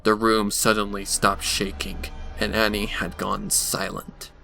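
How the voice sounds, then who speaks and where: Gravelly low-voiced man narrating horror. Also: level tone, unhurried, sharp tone, faint music behind. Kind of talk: dramatic scripted horror story narration. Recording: faint background noise.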